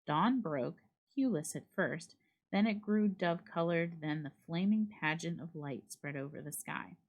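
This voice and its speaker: A woman reads a literary horror tale aloud in a slightly low voice. Her storytelling is measured and expressive, delivered as a dramatic reading. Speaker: library staff reader.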